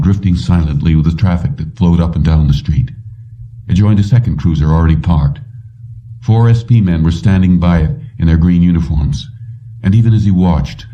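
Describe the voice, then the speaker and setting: A man reads audiobook narration from a script. His voice is very dark and mellow, sitting fairly low. The recording sounds dull and slightly distant.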